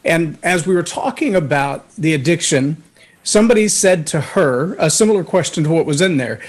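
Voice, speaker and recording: A man's voice: animated, moderate pace. Earnest man telling his story. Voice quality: booming voice when raised. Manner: earnest, anecdotal, acts out others' words. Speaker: guest speaker. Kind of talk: candid storytelling in a talk show interview.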